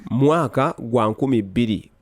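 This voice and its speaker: A man interpreting a sermon, talking rapidly and restarting words as he keeps up. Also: medium pitch, no echo, clean studio sound.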